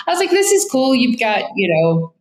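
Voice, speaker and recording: A woman's voice: high voice, sing-song, a little fast, reverberant. A middle-aged woman from Brooklyn with a thick Brooklyn accent and Italian as her first language, a real estate agent chatting candidly in an interview with lots of fillers and asides. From Brooklyn, New York. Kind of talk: casual interview.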